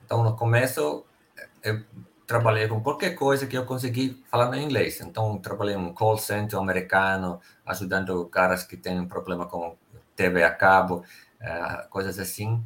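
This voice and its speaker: A man's voice: deep voice, normal speed. A middle-aged man from Finland with a Finnish accent, speaking Portuguese as a second language and telling stories as an interview guest. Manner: relaxed storytelling, careful non-native phrasing. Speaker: guest, company sales director. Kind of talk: casual interview chat.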